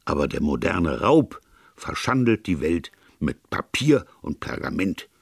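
A deep, slightly gravelly priest detective, read by a man, earnest and philosophical. He reasons at length with calm sincerity, and his voice rises and falls freely, as in an audiobook crime story. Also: unhurried, no echo, studio quality, exaggerated voice. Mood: grave, reflective. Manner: even-tempered, sincere, discursive. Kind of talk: audiobook character dialogue.

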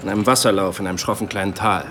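A man's voice: low voice, fairly even intonation, racing, close to an everyday voice. A man acting a supremely self-assured, erudite Iroquois army sergeant in a German radio play, speaking formally, politely and eloquently. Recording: clearly noisy outdoor ambience behind.

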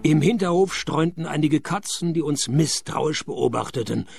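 Deadpan gravelly detective narrator, lively sardonic delivery, music underneath. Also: male voice, a little high, moderate pace, no room sound, full character voice. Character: cynical male private detective, dry wit. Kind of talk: radio play narration.

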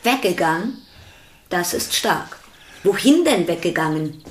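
An actress playing a brisk, bossy and suspicious woman in a German radio play, direct and questioning. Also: lowish voice, animated.

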